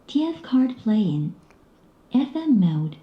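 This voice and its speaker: A woman's voice: medium pitch, big pitch swings, takes it slowly. A woman's automated voice giving short mode announcements from a device, through a muffled speaker in a roomy, noisy recording. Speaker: device voice prompt.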